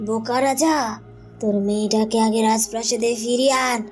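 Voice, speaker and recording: A woman's voice: fairly high pitch, fairly even intonation, moderate pace, a little mellow, faint music behind, close to an everyday voice. Scheming palace villainess, acted by a woman. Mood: sly, menacing. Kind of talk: dramatized cartoon villain dialogue.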